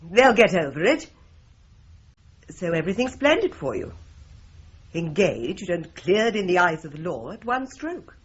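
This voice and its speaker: Older woman, husky, teasing, animated pitch, dull lo-fi sound. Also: unhurried, long pauses, full character voice.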